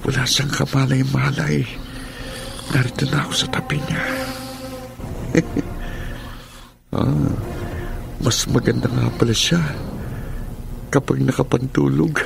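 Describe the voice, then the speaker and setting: A man's voice: mid-range voice, steady tone, slow, gravelly, long pauses. An actor playing a menacing, lecherous young male villain in a Filipino radio drama, taunting and gloating. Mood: gloating, predatory. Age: young adult. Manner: leering, taunting delivery with gloating chuckles. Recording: music behind the voice.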